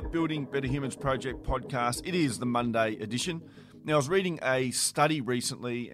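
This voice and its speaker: A man's voice: medium pitch, fairly bright tone. A man hosting a solo motivational podcast, talking in an earnest, direct way. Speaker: podcast host.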